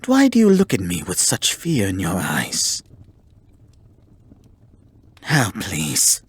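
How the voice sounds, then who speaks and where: Guy doing a snooty male kitsune for anime asmr roleplay, husky breathy voice, super expressive, formal and exasperated but secretly caring. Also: deep voice, slow pace, full character voice. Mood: sarcastic, exasperated. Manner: formal, theatrical, exasperated scolding. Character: haughty, tsundere male kitsune forest spirit, grudgingly caring. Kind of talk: ASMR roleplay acting, addressing the listener.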